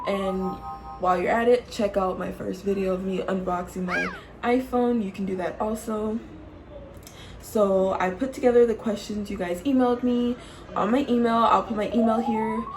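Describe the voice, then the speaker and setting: A woman's voice: mid-range voice, a little mellow, a little hiss or hum, poor audio. A woman in her late twenties chatting casually to camera as a vlogger, playful and teasing, laughing often and calling her viewers y'all. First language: English. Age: 20s. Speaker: YouTube vlogger.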